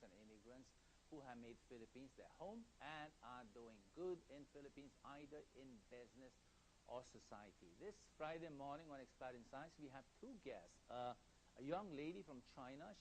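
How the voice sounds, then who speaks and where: A man's voice: mid-range voice, slight background noise, poor audio. A man hosting an interview show, asking probing questions and often repeating or rephrasing himself as he goes. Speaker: talk show host.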